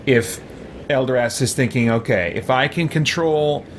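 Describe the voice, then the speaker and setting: A man's voice: mid-range voice, normal speed, a little hiss or hum. A man hosting a gaming video, giving casual roleplay commentary and explaining his choices as he thinks aloud.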